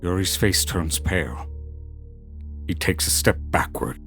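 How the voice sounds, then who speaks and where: Low, expressive male narrator over music. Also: unhurried. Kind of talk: scripted dramatic narration.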